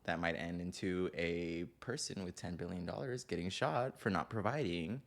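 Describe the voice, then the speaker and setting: A man's voice: deep voice, normal speed, gravelly. A young man co-hosting a podcast, chatting casually and playfully with frequent laughter. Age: young adult. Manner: playful, laughs often, animated. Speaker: podcast co-host. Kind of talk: casual chat.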